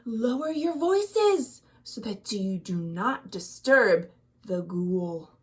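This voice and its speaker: A woman voicing timid storybook villagers who speak in hushed, fearful whispers. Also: medium pitch, lively intonation, slow, far from the mic. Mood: shushing.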